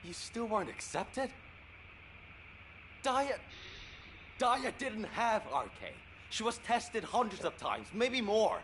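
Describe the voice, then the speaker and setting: High-voiced, pleading young man. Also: sing-song, takes it slowly, long pauses, fairly bright tone, a little hiss or hum, exaggerated voice. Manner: pleading, emotional. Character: worried young man, pleading and exasperated friend. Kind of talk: dramatic video game voice acting.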